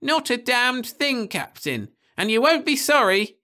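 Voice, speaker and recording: A jittery old spacer pleads in a voice pitched well above the reader's own, high and excited, words tumbling out in a hurry, as a male narrator puts him on. He pushes hard, all eager insistence, with sudden loud bursts. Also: measured pace. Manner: hasty and pleading. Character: jittery old male ex-drunk computing whiz.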